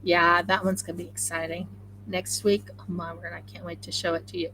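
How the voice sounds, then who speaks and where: A woman with an Oklahoma accent chatting excitably and rambling on a home craft live stream while she sews. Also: on the low side, fairly quick, a little hiss or hum, low-quality recording. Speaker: craft channel host. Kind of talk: casual live-stream chat while sewing.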